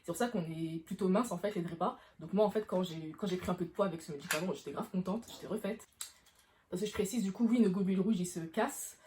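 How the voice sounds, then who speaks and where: A woman's voice: lowish voice, dark tone. A woman, chatting informally to camera and explaining things, often rephrasing herself as she goes. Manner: informal, frequent self-rephrasing. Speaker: vlogger answering questions. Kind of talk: casual explanatory chat to camera.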